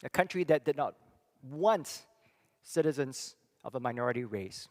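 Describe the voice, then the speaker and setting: A middle-aged man from Singapore giving a church talk, thoughtful and halting, with many fillers and restarts. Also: medium pitch, lively intonation. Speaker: church speaker and psychology professor. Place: church hall. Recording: long reverb of a hall.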